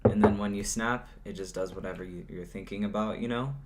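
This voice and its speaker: A teenage male podcast co-host at home, rambling with lots of fillers, his voice not deep. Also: steady tone, a little fast. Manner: rambling, filler-heavy, laughs often. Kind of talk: casual chat.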